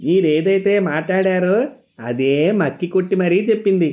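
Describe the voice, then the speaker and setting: A man's voice: high-pitched, moderate pace, darkish tone, lightly acted. A man playing a pushy market bird seller in a cartoon story. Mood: insistent.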